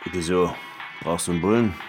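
A man plays a weary police detective in a radio crime drama. He is in his thirties, low in pitch and dryly sardonic, with clear music behind him. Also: brisk, lightly acted. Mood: tired, grumbling. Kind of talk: German radio crime drama, dialogue and first-person narration.

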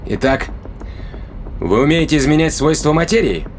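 A man's voice: a little high, lively intonation, normal speed, background noise. An actor playing a skeptical, rational man who interrogates in a matter-of-fact, questioning way in an audio drama.

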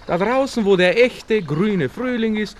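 An actor playing a protective, worldly young Viennese gentleman who lectures his friend genially and insistently in 1960s radio drama style. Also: high voice, expressive, a little slow. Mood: genial lecturing. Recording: noisy old radio play with outdoor ambience.

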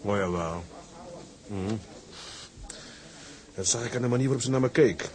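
An actor playing a reserved, modest ship's officer in a radio drama, hesitant and polite. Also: male voice, fairly low pitch, moderate pace, darkish tone, close to an everyday voice. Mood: brooding. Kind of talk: Dutch radio drama acting.

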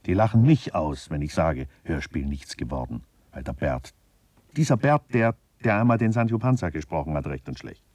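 An actor playing a grumbling, brooding director who mutters a sardonic, literary interior monologue in a radio play. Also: male voice, extremely low pitch, mellow, husky, no room sound, exaggerated voice. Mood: bitter, scornful.

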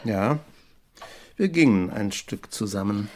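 A man's voice: lowish voice, lively intonation, slow pace, darkish tone. A man playing an earnest, restrained married village pastor in a German radio drama. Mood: restrained, placating. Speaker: actor in a radio play.

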